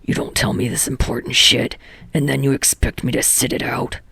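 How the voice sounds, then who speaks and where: A gruff, arrogant young man from an audiobook, acted out by a woman. The voice is dropped low and is heavily fried, with a strong rasp. Lines come out curt, scoffing and challenging. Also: level tone, moderate pace, fairly bright tone, no room sound, heavily acted. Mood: irritated. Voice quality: low, gravelly, raspy. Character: arrogant, gruff young male celebrity, challenging and foul-mouthed boyfriend.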